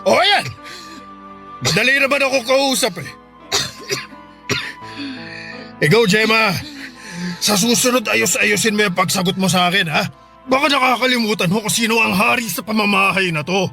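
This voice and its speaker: A man's voice: high-pitched, brisk, gravelly, pushed and bright, faint music behind, full character voice. An actor playing a cruel, stingy, bullying husband and father in a radio drama. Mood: menacing. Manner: bullying, sneering, dismissive. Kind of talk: Tagalog radio drama acting.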